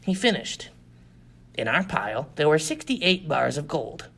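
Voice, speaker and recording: A man's voice: a little high, sing-song, unhurried, gravelly, a bit bright. A man reading a science fiction adventure story as dramatic scripted narration. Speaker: audiobook reader.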